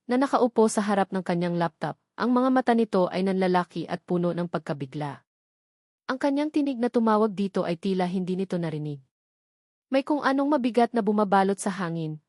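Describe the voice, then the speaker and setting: A woman's voice: medium pitch, a little mellow, dry recording. Woman narrating a dramatic story, dry sound.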